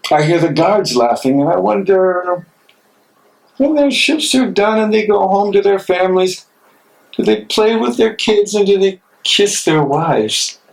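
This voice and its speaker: An imprisoned theologian played by a man, devout and defiant, declaimed as stage drama. The delivery is very slow and impassioned, in a fairly high register. The sound is roomy, picked up away from the mic. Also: vocal fry, full character voice. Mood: pensive. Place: library room, live reading. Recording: echoey room, distant mic, noisy.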